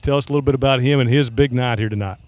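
A man's voice: medium pitch, very fast, darkish tone, dry recording. A man interviews a coach after a game, folksy and friendly, calling people "man". His voice is dry and close to the mic, with no room around it. He records outdoors by a river at night. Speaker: sports broadcaster. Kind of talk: postgame sideline interview.

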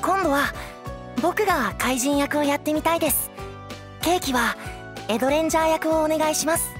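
A woman's voice: high-pitched, moderate pace, close to an everyday voice. A woman voicing a cheerful, polite and earnest little boy lord in a comedic voice anime. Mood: happy, eager. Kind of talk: Japanese voice-anime comedy acting. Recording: music bed behind the voice.

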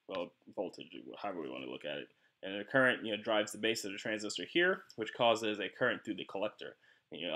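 Low-pitched man giving a casual tutorial. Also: a bit bright. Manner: informal, rambling explanation with frequent "you know". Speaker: electronics hobbyist presenter. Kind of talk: casual unscripted tutorial narration over screen capture.

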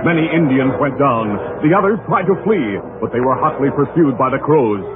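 A man's voice: mid-range voice, expressive, normal speed, very noisy. A man narrating a scripted adventure drama in a dramatic 1930s radio style. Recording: tinny old radio recording with music.

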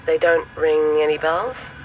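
An actress playing a brisk, cautious woman researcher in an audio drama phone conversation. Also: low-pitched, steady tone, slight background noise, low-quality recording. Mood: brisk, concerned.

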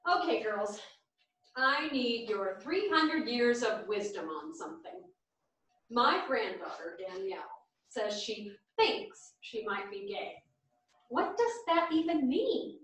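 A woman playing a devout, caring elderly grandmother in a staged play reading, deliberate and expressive. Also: a little high. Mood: earnest, concerned. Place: small theatre stage, seated at music stand. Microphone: far camera. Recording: very echoey theatre stage from far off.

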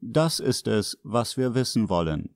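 A man's voice: medium pitch, big pitch swings, a little slow, a little mellow, no room sound, studio quality. A man reading short scripted sentences as the narrator of a language lesson. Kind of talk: scripted sentence translations read out.

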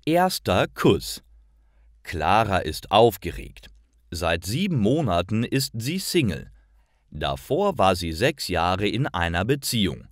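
A man reading scripted stories for language learners, with careful, deliberate enunciation. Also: lowish voice, very animated, no room sound, studio quality. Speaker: language-course narrator.

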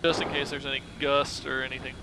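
A man's voice: medium pitch, completely flat delivery, quick pace. A male pilot giving terse instructions and radio calls over a headset in a small plane. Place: small propeller plane cockpit in flight. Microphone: aviation headset mic. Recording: aircraft headset intercom with engine noise.

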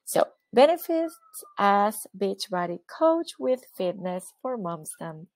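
A woman's voice: medium pitch, big pitch swings, slow pace, dry recording. A woman from Venezuela whose first language is Spanish, speaking English with a Venezuelan accent as a fitness coach presenting slides, encouraging and often checking in with "okay?". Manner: encouraging, repeats "okay?", self-corrects.

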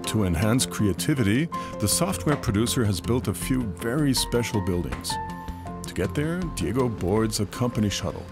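Guy narrating a documentary, lively pitch, music clearly playing under it. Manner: measured, informative voice-over. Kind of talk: scripted narration. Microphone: studio voice-over. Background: music bed.

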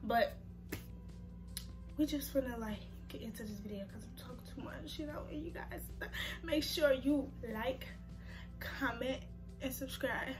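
A woman's voice: sits high, unhurried, long pauses, music in the background. Chatty young woman losing her voice. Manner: rambling, chatty, with filler "like". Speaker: reaction video host. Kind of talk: casual slangy chat to viewers.

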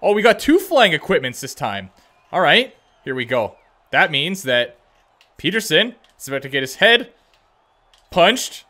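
Young man, bright-voiced gaming commentator. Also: on the high side, big pitch swings, unhurried, long pauses. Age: young adult. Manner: playful, self-deprecating, rambling asides. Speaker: gaming video host playing a hockey game. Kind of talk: casual gameplay commentary with running reactions. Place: indoors at a home gaming setup. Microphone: close desk microphone, wearing headset.

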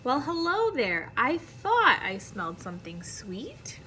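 A woman's voice: on the high side, wildly swooping pitch, slow, bright, forceful tone, a little hiss or hum, rough recording. An American woman, around her thirties, reads a children's picture book aloud like a teacher, expressively and carefully, with friendly asides to young listeners. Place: indoor classroom.

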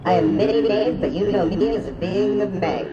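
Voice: medium pitch, normal speed, dull audio, loud music behind the voice, natural voice. An actor voicing a small, irritable, sardonic sheet ghost in a radio play. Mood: sardonic, grandiose. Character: grumpy foul-mouthed small sheet ghost being, weary trickster.

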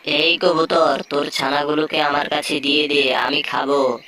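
A woman voicing a sly, greedy male fox in a children's animated folk tale, wheedling and menacing. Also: sits low, unhurried, a little hiss or hum. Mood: scheming, threatening.

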